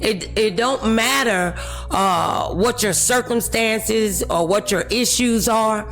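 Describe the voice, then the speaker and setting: A woman's voice: a little high, takes it slowly, fairly bright tone, soft background music. A woman with an American accent hosting a motivational talk show, speaking in an encouraging, preacher-like way.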